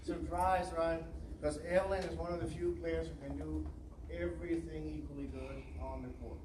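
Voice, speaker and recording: An accented man coaches volleyball and speaks in an earnest, emotional way, stumbling with fillers as he goes. His voice sits a bit high and sounds distant from the mic. An echoey room and steady background noise surround him. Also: moderate pace. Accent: non-native English. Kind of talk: speech at a signing ceremony.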